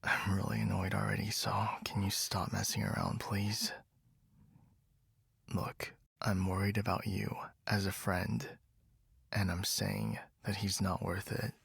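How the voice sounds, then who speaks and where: A young man voicing a jealous, possessive best friend in a whispered roleplay, speaking low and breathy with frequent sighs, close to the microphone. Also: level tone, a little slow, fairly bright tone, no echo, exaggerated voice. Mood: irritated, defensive. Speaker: ASMR roleplay voice actor. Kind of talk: whispered ASMR boyfriend roleplay.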